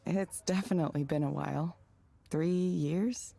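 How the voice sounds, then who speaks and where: A woman's voice: sits low, a little slow, gravelly, dark tone, heavily acted. An actress playing a reserved, subdued woman in a visual novel game, speaking hesitantly and naturalistically. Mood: sheepish. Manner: hesitant, reticent. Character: reserved, subdued woman working as a proxy counselor.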